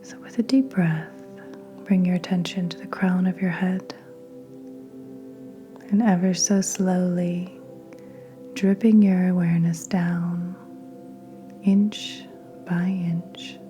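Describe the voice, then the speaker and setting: A woman guiding a meditation in a soft, breathy near-whisper, gentle and soothing, with long unhurried pauses. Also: fairly low pitch, level tone. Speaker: podcast host and meditation guide. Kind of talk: guided meditation narration. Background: soft meditation music with singing bowls.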